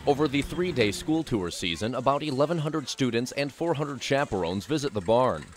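A young man reporting, reading a scripted news voice-over in a clear, lively way. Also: medium pitch, normal speed. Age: young adult.

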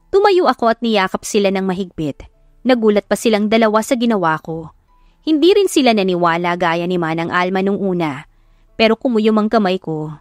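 Female Filipino narrator for dramatic audio romance, mid-pitched, dry recording with music underneath. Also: no room sound. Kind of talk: dramatic first-person story narration. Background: background music.

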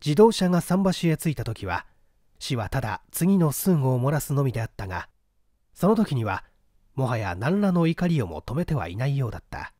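A man's voice: mid-range voice, very animated, a little fast, warm tone, no echo, clean studio sound. A man narrating a literary story as an audiobook reader, in a scripted style.

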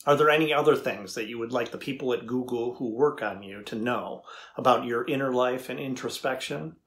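A man acts as a middle-aged male researcher in a dramatized interview. His voice sits at an average pitch. He is earnest and curious, putting each question deliberately. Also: fairly bright tone. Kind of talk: dramatized transcript reading.

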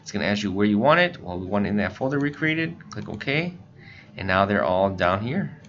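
Casting: American male tutorial narrator, lowish pitch, casual instructional delivery, slightly lo-fi sound. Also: expressive, a little fast, fairly bright tone. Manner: informal, step-by-step explaining. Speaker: instructor, scanner shop staff. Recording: muffled lo-fi voice-over, faint background noise.

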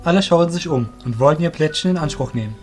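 A man's voice: a little high, expressive, fast, a little mellow. A man reads scripted story narration for an audio drama. He acts as narrator, telling the events in prose. Faint plucked guitar music plays behind him.

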